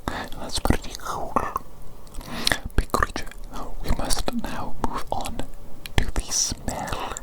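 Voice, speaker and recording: Woman as slow whispering ASMR evil doctor. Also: lightly acted. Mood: soothing, playful. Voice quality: breathy unvoiced whisper. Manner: soothing, slow, repeats words and phrases. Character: playfully evil doctor gently examining a big creature patient. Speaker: ASMR performer. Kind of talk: whispered ASMR medical roleplay.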